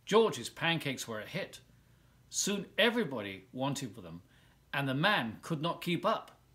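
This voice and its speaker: A man reading a children's picture book aloud as a volunteer storyteller, expressively, with drawn-out words and dramatic pauses. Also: a little high, big pitch swings, bright, forceful tone.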